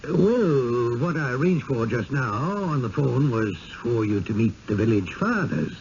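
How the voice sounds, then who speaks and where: An actor playing an evasive, smoothly persuasive small-town mayor in a 1970s radio drama, courtly and effusive. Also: male voice, mid-range voice, very animated, a little slow, darkish tone. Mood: genial, evasive. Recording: muffled, hissy 1970s radio broadcast.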